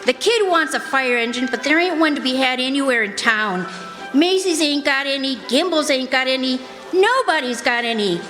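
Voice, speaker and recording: A woman's voice: high voice, expressive, moderate pace, fairly bright tone. A woman playing a brash, weary city mother in a radio drama, blunt and slangy. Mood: exasperated. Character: brash, weary, working-class city mother, baffled shopper. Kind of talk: live stage radio drama acting. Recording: echoey hall stage, faint music.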